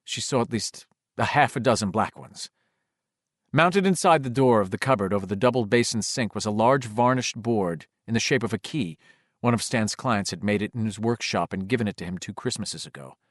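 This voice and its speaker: A man reading horror fiction as a dramatic, expressive audiobook narrator, with a suspenseful delivery. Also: lowish voice, moderate pace, no room sound, studio quality.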